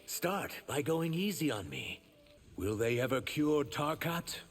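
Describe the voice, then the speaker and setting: A man's voice: on the high side, big pitch swings, unhurried. A man voicing an earnest young shapeshifting reptilian warrior in human form for a video game. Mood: earnest, guarded. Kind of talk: video game character dialogue.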